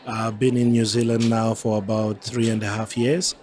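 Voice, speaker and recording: A man in his forties from Zambia with a Southern African accent gives measured, formal answers as an interview guest. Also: lowish voice, flat delivery, a little mellow, a little hiss or hum. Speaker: interview guest, climate change adaptation advisor. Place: busy festival venue. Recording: crowd chatter behind the voice.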